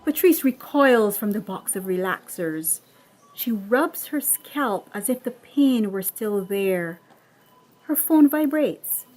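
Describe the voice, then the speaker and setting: A woman reading a screenplay as dramatic scripted narration, expressive and deliberate in her storytelling. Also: medium pitch, rough recording. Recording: music under the voice. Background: music.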